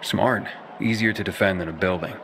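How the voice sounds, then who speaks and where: A man's voice: sits low, fairly quick, background noise. An actor playing a young male drifter in a game cutscene, hesitant and reserved, asking careful questions. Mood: curious. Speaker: newcomer to a military faction. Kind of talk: video game cutscene acting.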